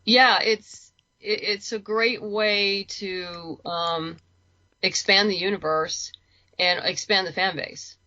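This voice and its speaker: A woman's voice: medium pitch, steady tone, slow pace, sharp tone, muffled recording. A woman chatting informally as an interview guest, thinking aloud as she explains her work as a writer. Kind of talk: casual conversational interview.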